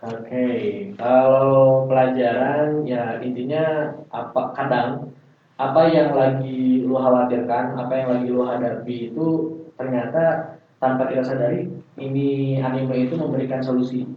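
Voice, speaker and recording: A man's voice: medium pitch, fairly even intonation, a little slow. A young man chatting casually as a podcast guest, heard in an echoey room. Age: young adult. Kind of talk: casual chat. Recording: echoey, distant, tinny phone-like sound, faint noise.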